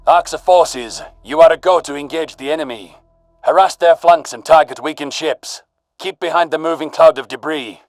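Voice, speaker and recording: Male actor as human fleet commander, fairly high, dry, clipped, authoritative, sci-fi audio drama. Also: normal speed, no room sound, lightly acted. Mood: commanding. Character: brisk, authoritative human military commander over comms. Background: background music.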